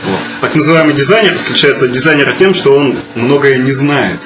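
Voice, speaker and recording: A man's voice: mid-range voice, fast talker. A man in his early thirties talking casually in a group discussion, with an ironic, self-deprecating manner. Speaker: musician guest answering questions. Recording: echoey room with clear background noise.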